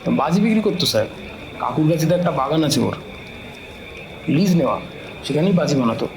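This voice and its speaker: A man's voice: on the high side, animated, a little fast, a crowd in the background. A man playing a talkative, deferential police officer in an audio drama, explaining things respectfully and knowingly to a superior. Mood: informative. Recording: echoey room, clear background noise.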